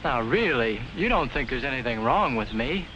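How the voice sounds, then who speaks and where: Earnest young college brain played by an actor, socially awkward, animated mid-range voice, muffled 1950s film sound. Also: male voice, mid-range voice, normal speed, noisy background, close to an everyday voice. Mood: anxious. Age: young adult. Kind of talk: 1950s educational film acting.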